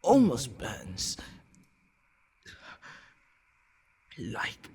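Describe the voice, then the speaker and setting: Man acting a slow, gravelly, possessive male vampire. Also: huge pitch swings, a little mellow, exaggerated voice. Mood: alarmed, demanding. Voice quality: gravelly, breathy low murmur dropping into whispers. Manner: slow, condescending, commanding. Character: possessive, menacing male vampire toying with his captive. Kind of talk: intimate ASMR roleplay acting.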